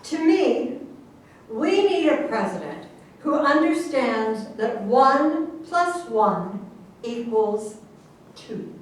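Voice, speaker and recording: A woman playing a skeptical political opponent in a staged satirical reading, deliberate, sardonic and challenging. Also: a little high, very animated, full character voice. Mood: challenging, disapproving. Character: skeptical, scolding female political opponent of the president. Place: echoey room, far from the microphone. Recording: very echoey, distant, noisy hall recording.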